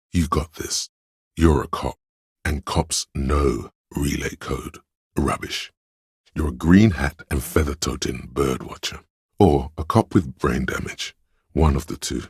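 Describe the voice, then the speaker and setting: A pedantic, all-knowing inner voice from a video game. It is extremely low and dry in the recording, with no room around it. The delivery is measured and scholarly, laying out facts like scripted lore. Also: male voice, a little mellow, breathy edge, no room sound, exaggerated voice. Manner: measured, learned, didactic. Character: pedantic, erudite inner voice of encyclopedic knowledge. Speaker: game character voice, narrator of lore. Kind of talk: scripted narration, delivering trivia.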